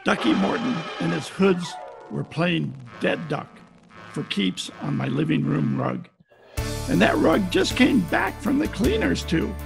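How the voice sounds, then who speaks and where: Casting: male actor as slangy tough-guy detective for 1940s-style radio play, heavy fry, music behind, recorded at home over a video call. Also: fairly high pitch, big pitch swings, unhurried, full character voice. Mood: wry. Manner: slangy, tough-talking, wry. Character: wisecracking hard-boiled private detective, adult man. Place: performer's home, recorded remotely.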